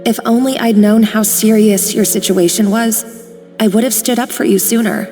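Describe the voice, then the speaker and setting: A woman plays a young cook with a fairly high voice, dry in tone yet caring. Reverberant game audio carries faint music underneath. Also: fairly even intonation, normal speed, dark tone, lightly acted. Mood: wry. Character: kind, sardonic young female cook. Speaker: video game character.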